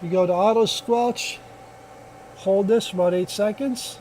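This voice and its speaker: A man's voice: high voice, steady tone, measured pace, darkish tone, a little hiss or hum. A man giving a casual hands-on product demonstration, explaining step by step in a plainspoken way. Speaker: product reviewer.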